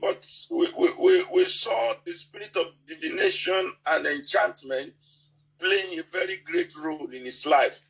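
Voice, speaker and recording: A man with a Nigerian accent delivers a sermon in a fairly high, gravelly voice. He speaks haltingly, repeating words and circling back as he restarts his sentences. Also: big pitch swings, a bit bright, low-quality recording. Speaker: preacher.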